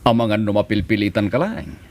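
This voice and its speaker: A man plays an authoritative gang boss sizing up a recruit. His voice sits low and moves expressively. He probes and commands in radio drama style. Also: lively intonation, brisk, darkish tone. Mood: probing, commanding.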